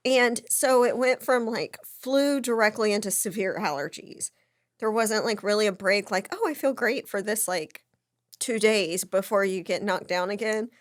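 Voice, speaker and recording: A woman in her thirties chatting casually as a podcast co-host, a little hoarse and stuffed up from a cold, wry and self-mocking. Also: mid-range voice, moderate pace, no echo, studio quality. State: congested from a cold, slightly hoarse. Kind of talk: casual chat.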